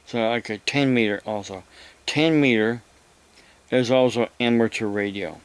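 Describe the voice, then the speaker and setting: A man giving a rambling, casual explanation to viewers, halting and repeating himself as he goes. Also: medium pitch, clean tone. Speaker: vlogger.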